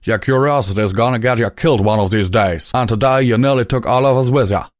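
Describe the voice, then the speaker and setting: A man voicing a gruff, protective male pegasus with a folksy drawl, scolding a friend. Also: fairly low pitch, fairly quick, a little mellow, dry recording, clean studio sound, close to an everyday voice. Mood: angry.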